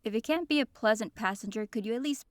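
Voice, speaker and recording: A woman voicing a haughty young princess in a scripted fantasy audio drama, speaking in a measured, pointed, formal way. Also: a little high, no room sound, close to an everyday voice. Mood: cool reproach.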